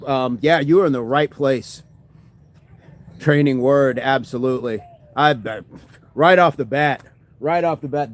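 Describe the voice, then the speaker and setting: A man hosting a fitness livestream, casually chatting and reading viewer comments, laughing often and thanking people by name. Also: medium pitch.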